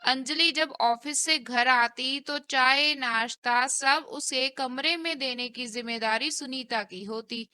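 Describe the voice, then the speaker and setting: A woman's voice: high voice, slow, hardly any pauses, sharp tone, clear, resonant tone, no room sound. A woman narrating a scripted cartoon story.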